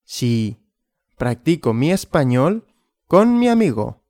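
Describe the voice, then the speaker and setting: Male language teacher, very slow and deliberate, lively up-and-down intonation, dry close recording. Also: mid-range voice, no echo. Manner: very slow, deliberate, repeating and asking himself questions. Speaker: Spanish teacher. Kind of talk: slow graded language lesson with questions and answers.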